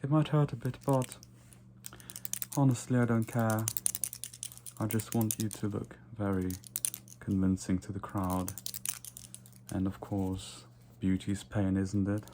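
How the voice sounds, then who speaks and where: Man playing a low, slow, haughty vampire. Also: steady tone, slight background noise, lightly acted. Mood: fussy, condescending. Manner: soft, slow, deliberate, with whispered asides. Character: haughty, domineering, playful male vampire lord pampering his captive. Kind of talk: ASMR roleplay.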